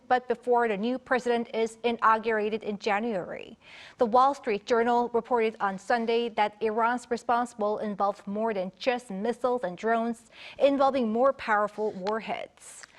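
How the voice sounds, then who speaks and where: A woman anchoring a television news broadcast, reading scripted stories in a formal news style. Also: a little high.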